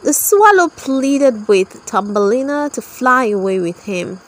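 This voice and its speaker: A woman narrator reads a children's story aloud in a high voice. Her delivery is slow and scripted, with very lively intonation suited to toddlers.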